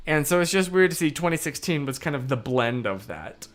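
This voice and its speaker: A young man with a worn-out, hoarse voice hosts a live stream, rambling through his thoughts and laughing easily as he answers chat. Faint music plays softly behind him. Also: fairly high pitch, animated, brisk. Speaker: livestream host. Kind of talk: casual livestream chat, answering comments.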